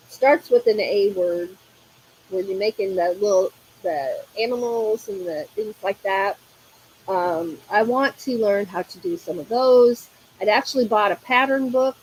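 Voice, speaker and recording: A woman hosting a crafting livestream, chatting casually and reading comments aloud. She is a bit hoarse from allergies, uses a lot of fillers and often breaks into laughter. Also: medium pitch, a little mellow, a little hiss or hum, poor audio.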